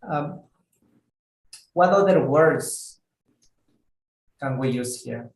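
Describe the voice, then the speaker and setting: Male ESL teacher, slow, careful delivery, repeats key words; echoey room. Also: on the high side, animated, gravelly. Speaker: English teacher. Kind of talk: online English lesson.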